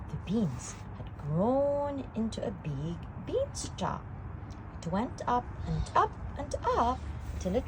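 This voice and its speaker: A woman reading a children's story aloud, narrating in an expressive, deliberate way. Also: medium pitch, background noise. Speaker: storytime reader.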